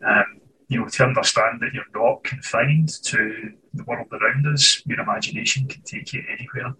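Scottish male guest, thoughtful and searching, bright tone, distant video-call sound with faint noise. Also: mid-range voice, moderate pace. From Scotland. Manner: thoughtful, restarts phrases while searching for words. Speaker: author guest. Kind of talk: conversational interview over video call. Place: home writing office.

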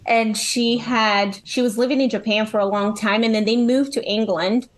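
A woman with a Brazilian accent telling her story casually as a podcast guest, chatty and quick to laugh. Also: on the high side, steady tone. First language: Portuguese. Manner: chatty, laughs often while talking.

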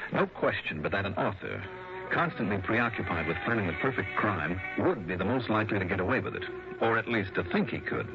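A cool, skeptical detective in a 1960s radio drama. His low voice is clipped yet very varied in pitch. The recording is muffled, with music behind it. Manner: clipped, probing, dry. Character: cool, skeptical male private investigator. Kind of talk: 1960s radio drama acting, first-person narration.

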